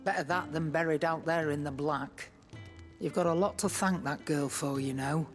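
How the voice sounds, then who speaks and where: An actor playing a gruff, sardonic outlaw in a video game, curt and knowing in the way he talks. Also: on the high side, fairly even intonation, normal speed, faint music behind, lightly acted. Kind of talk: video game cutscene acting.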